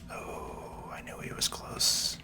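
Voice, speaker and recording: A person whispering relaxed, unhurried ASMR commentary while playing a video game. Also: music in the background. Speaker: video game player and channel host.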